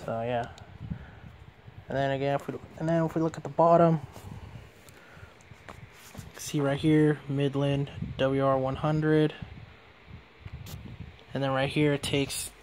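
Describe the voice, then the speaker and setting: A man's voice: medium pitch, steady tone, takes it slowly, long pauses, darkish tone, a little hiss or hum. A man giving a casual hands-on product demonstration as a reviewer.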